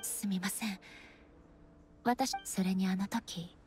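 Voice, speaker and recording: An actress playing a solemn, gentle warrior woman in a video game, regal and reserved, with polite, formal, measured speech. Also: mid-range voice, fairly even intonation, lightly acted. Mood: sombre, reflective. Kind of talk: Japanese video game voice acting. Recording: game music behind. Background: game music.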